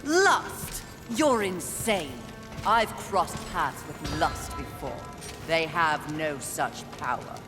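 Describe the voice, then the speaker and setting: A woman's voice: deep voice, lively intonation, slow. A woman voicing a proud, wrathful female warrior of the apocalypse in a video game, speaking in a curt, imperious, disdainful way. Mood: scornful. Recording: music behind.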